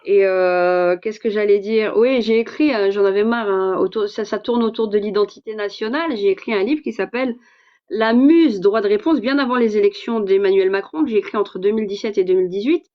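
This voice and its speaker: A woman's voice: medium pitch, fairly quick, highs cut off. A French woman hosting a livestream, outspoken and hesitant with many fillers, speaking with a Parisian French accent. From France. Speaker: livestream host and author. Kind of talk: casual livestream talk.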